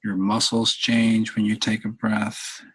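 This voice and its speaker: A man leading a guided meditation in a calm, unhurried, halting way with long pauses and a husky voice. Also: fairly low pitch, low-quality recording. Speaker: meditation leader, podcast host. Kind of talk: guided meditation, softly spoken instruction.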